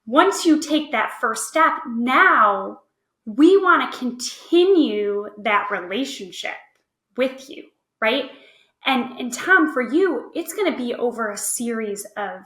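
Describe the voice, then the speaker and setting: A woman speaks as an expert guest in a podcast conversation. Her voice sits fairly high and has a very bright edge. She explains step by step, checking in as she goes, in a noticeably roomy recording. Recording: roomy, echoey voice track.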